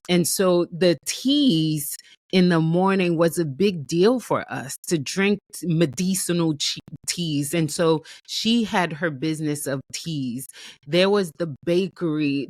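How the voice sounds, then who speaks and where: A woman's voice: on the low side, no echo. A woman from Haiti hosting her own show, telling her story in an earnest, reflective way and often repeating her phrases. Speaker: host.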